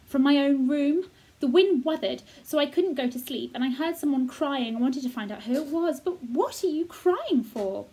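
A woman's voice: high voice, animated, moderate pace, mellow, pitched above the performer's own voice, poor audio. A woman voicing a curious, blunt young girl who keeps asking puzzled questions. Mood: explaining.

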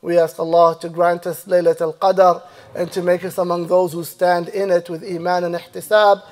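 High-voiced imam preaching in a reverberant mosque. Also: male voice, flat delivery. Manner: measured preaching, recites Arabic verses rapidly then translates slowly. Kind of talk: Friday sermon. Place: mosque prayer hall, indoors. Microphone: stand mic and lapel mic. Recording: long hall reverb.

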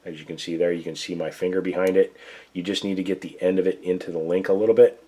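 Hoarse male host, very low voice, plain-spoken workbench demo. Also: fairly even intonation, fast, mellow. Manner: plain-spoken, step-by-step instructions. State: losing his voice, hoarse. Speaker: gun reviewer, show host. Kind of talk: casual tabletop demo. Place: indoor workbench.

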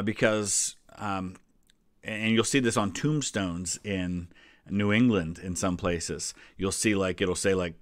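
A man with a fairly low voice and an American accent talks casually through history, explaining as he goes. The sound is dry and close, and his delivery is chatty and digressive. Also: no echo. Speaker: history video host.

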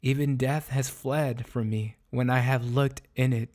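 A man's voice: medium pitch, fairly even intonation, unhurried, darkish tone, close to an everyday voice. A man voicing a bitter, sorrowful runaway dog in a storybook soliloquy, speaking in a solemn, plaintive, formal way. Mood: anguished, ashamed.

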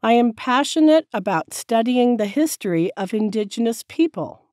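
A woman teacher reading short sentences slowly and clearly, each one said several times for learners to repeat. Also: a little high, expressive, darkish tone, no room sound, clean studio sound. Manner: careful, clear enunciation. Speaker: English teacher. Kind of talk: slow repeated drill sentences.

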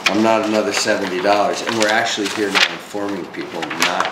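A man's voice: on the low side, steady tone, quick pace, reverberant, heavy background noise. A man in his thirties from New York chatting casually and laid-back, calling his friend "dog". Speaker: friend of the vlogger. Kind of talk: casual chat.

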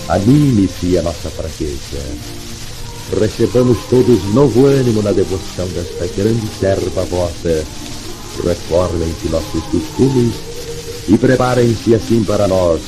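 A man with a Brazilian accent solemnly reciting prayer as a 1950s radio announcer, formal and reverent, on an old 78rpm disc. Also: low voice. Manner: formal, reverent, measured oratory. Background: music, record crackle.

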